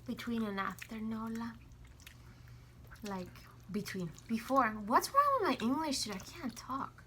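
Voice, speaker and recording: A woman's voice: a little high, expressive, a little slow, fairly bright tone, a little hiss or hum. A woman talking casually in a food vlog, with a wry, teasing way of speaking. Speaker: food vlogger. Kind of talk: casual mukbang chat.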